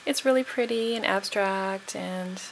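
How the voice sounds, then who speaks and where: A woman's voice: medium pitch, unhurried, creaky, a little hiss or hum, rough recording. A woman giving a casual, chatty tutorial voice-over, sounding a little tired and froggy. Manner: informal, chatty. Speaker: nail art tutorial host.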